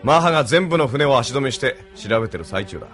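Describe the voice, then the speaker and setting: An actor playing a brash, swaggering young street boss and brawler in a Japanese anime audio drama, rough-spoken and cocky. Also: male voice, mid-range voice, lively intonation, fast talker, music in the background, close to an everyday voice.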